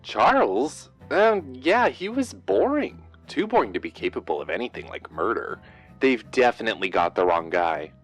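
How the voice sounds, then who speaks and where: A man's voice: medium pitch, sing-song, normal speed, soft background music. A man playing a casual, dismissive acquaintance giving a news interview in an audio drama. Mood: dismissive, sceptical.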